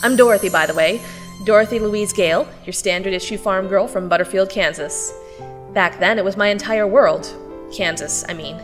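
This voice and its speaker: An actress playing a spirited, cheeky and stubborn teenage farm girl in an audio drama, expressive and conversational. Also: mid-range voice, moderate pace, faint music behind, natural voice. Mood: chatty, wry. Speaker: narrator and lead character. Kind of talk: audio drama acting with first-person narration.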